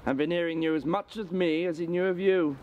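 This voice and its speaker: An actor playing a cool, calculating Victorian husband in a stage drama, speaking in formal, precise, mocking retorts. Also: male voice, a little high, fairly even intonation, a little fast, lo-fi sound, lightly acted. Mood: cool, sparring. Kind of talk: theatrical period drama acting.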